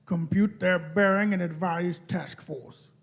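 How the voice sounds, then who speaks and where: Guy as cold villain commander, highish voice, slow grand declaiming, radio play. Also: fairly even intonation. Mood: cold, triumphant. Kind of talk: live staged radio play.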